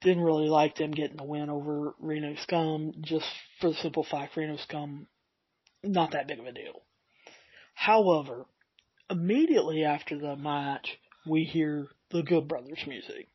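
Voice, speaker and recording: A man hosting a solo podcast, recapping in a rambling way with fillers, his voice getting a bit raspy. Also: a little high, steady tone, moderate pace, no room sound, dull audio. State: slightly raspy voice. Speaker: podcast host.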